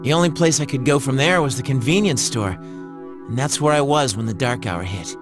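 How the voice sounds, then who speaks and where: A man voicing a brash, moody high school boy in an anime game, talking in casual, expressive slang. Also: normal speed, over music, lightly acted. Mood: downcast, reflective.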